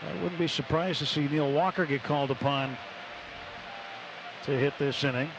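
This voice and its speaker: American man commentating baseball over loud ballpark noise. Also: fairly high pitch, normal speed. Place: stadium broadcast booth. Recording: loud stadium ambience on radio broadcast. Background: loud ballpark ambience.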